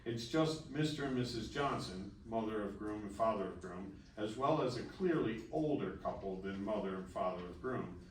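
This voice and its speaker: A man's voice: on the low side, lively intonation, normal speed, few pauses. A man reading stage directions as scripted narration at a screenplay table read. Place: festival table read in a room. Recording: echoey room, distant mic, faint noise.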